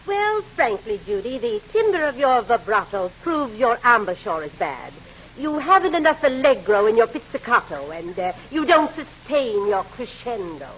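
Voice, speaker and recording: An actress playing a droll, slightly pretentious middle-aged aunt in 1940s radio comedy, deliberate and teasing. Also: female voice, on the high side, sing-song, a bit bright. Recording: dull, hissy vintage radio broadcast.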